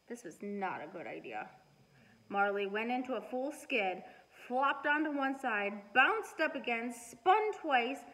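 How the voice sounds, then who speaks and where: A middle-aged woman reading a storybook aloud and chatting to children in an expressive, deliberate way. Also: fairly high pitch, rough recording. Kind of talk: storybook read-aloud and casual chat to children. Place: living room at home.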